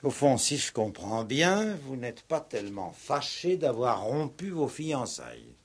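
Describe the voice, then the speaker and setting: An actor playing a probing, insistent, ironic police commissioner in a 1960s French radio play. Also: male voice, mid-range voice, very animated, measured pace, husky, exaggerated voice. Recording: old 1960s radio recording.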